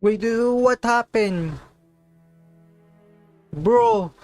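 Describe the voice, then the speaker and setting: A man's voice: high voice, very slow, darkish tone, faint music behind. A man livestreaming a game, reacting casually in informal, slangy speech. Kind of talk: casual reactions while gaming.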